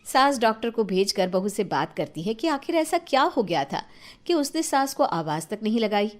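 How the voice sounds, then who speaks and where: A woman's voice: a little high, sing-song, normal speed. A woman narrator reading a scripted family story.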